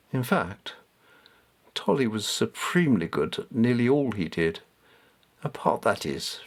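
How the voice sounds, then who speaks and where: A man reads a storybook as its author, in a medium-pitched voice. His scripted narration is expressive, with very varied intonation.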